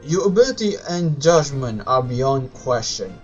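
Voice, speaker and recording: Guy streaming a game, non-native english, slowly reads dialogue out n stumbles a bit, muffled mic, music behind. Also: medium pitch, sing-song. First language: not English. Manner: halting, stumbling read-aloud delivery. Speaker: live streamer. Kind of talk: live game stream, reading story dialogue aloud.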